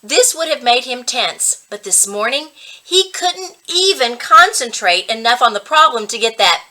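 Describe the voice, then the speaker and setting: A woman reading a children's story aloud as an expressive narrator, with dramatic pauses and stretched emphasis on key words. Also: a little high.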